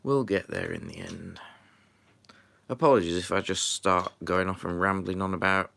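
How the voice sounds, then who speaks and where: A man's voice: low-pitched, steady tone, moderate pace, creaky, fairly bright tone. A man chatting casually on a live stream while building, rambling with lots of fillers and muttering to himself, a little stuffy from a runny nose.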